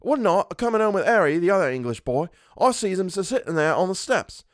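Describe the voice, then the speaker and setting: Young Cockney manservant, high-pitched, animated, deferential, sheepish, indignant, storybook character. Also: male voice, quick pace, dry recording, studio quality. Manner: colloquial, deferential, full of sirs.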